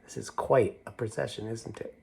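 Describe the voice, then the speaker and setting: A middle-aged man reading a picture book aloud to children, gentle and unhurried, stopping to show the pictures. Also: on the low side, steady tone, creaky, slight background noise. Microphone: camera mic, moving toward it to show pages.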